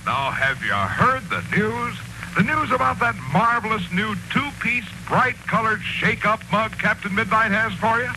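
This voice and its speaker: High, animated vintage radio pitchman. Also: measured pace. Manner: emphatic sales pitch. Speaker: radio announcer. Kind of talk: 1940s radio commercial announcing. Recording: noisy old radio broadcast recording.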